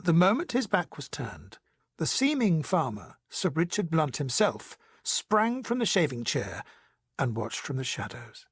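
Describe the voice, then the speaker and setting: An actor playing a colonel who recounts a crime tale with relish, in husky, dramatised period narration. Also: male voice, on the high side, huge pitch swings, a little slow, heavily acted. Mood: intrigued.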